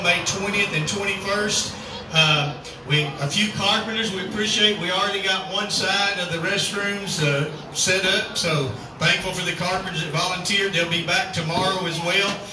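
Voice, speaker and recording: Cowboy preacher guy, kinda high voice, chatty, echoey outdoor arena recorded from far off with lots of noise. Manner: folksy, chatty, calls for crowd response. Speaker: cowboy church pastor. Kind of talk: sermon and announcements. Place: outdoor rodeo arena at sunset. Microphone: far camera across the arena.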